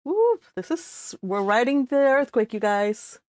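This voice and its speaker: Higher-voiced Californian woman, chatty read-along host. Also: unhurried, no echo, clean studio sound. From California, United States. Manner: animated, informal, exclaiming asides. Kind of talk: novel read aloud with casual chatty commentary.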